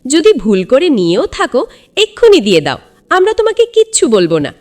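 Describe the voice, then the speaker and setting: Woman acting high-voiced, coaxing cartoon mother. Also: wildly swooping pitch, moderate pace, a little mellow. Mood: coaxing, firm. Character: soothing, morally firm mother in a cartoon.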